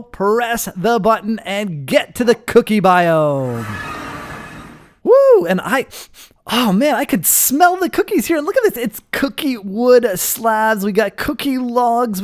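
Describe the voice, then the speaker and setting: A man hosting a gaming video with casual, playful roleplay commentary and animated reactions. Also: high-pitched, takes it slowly.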